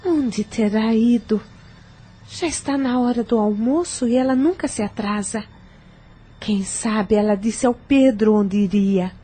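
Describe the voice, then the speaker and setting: A woman's voice: fairly high pitch, a little slow, mellow, slightly rough. An actress playing a concerned, strict mother with a maternal, scolding manner in an audio novel.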